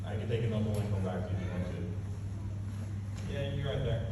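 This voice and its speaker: Norwegian-accented teenage boy in an echoey hall. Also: fairly low pitch. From Norway. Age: late teens. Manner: relaxed, hesitant, many "uh" and "um" pauses. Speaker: visiting exchange student presenter. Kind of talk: casual presentation and Q&A. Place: school assembly hall. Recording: echoey hall from far off, dull and noisy.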